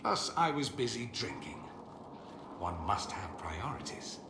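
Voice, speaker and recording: An actor playing a witty, proud mage in a video game, speaking in a theatrical, sardonic and articulate manner. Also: male voice, lowish voice, sing-song, measured pace. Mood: rueful. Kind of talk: dramatic video game dialogue. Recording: roomy echo, faint ambient noise.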